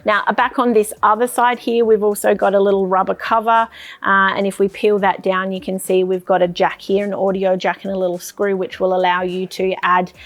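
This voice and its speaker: A woman's voice: mid-range voice, little pitch movement, fairly quick. Australian woman casually demonstrating a product. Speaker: product presenter for an outdoor shop's channel.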